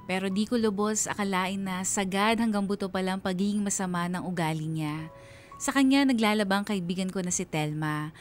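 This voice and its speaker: A woman's voice: mid-range voice, brisk, soft background music, close to an everyday voice. A woman playing an honest, hurt laundrywoman, narrating her story earnestly in a radio drama. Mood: disapproving.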